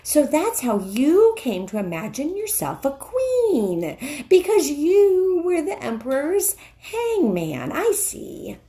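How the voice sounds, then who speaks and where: An indignant talking beaver from a storybook, put on by a woman reading aloud. He is blunt and affronted, speaking in a high-pitched voice. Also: wildly swooping pitch, slow pace, dark tone, exaggerated voice.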